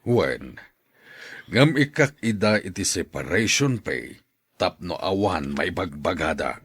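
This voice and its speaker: A man playing a stern, proud older plantation boss in an audio drama, with a husky voice. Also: deep voice, expressive, slow, fairly bright tone, no room sound, studio quality, heavily acted.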